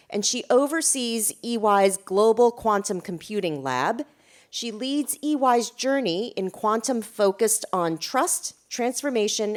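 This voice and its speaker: A woman moderates a conference session and introduces the next speaker. Her delivery is upbeat and formal, at a medium pitch. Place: conference room.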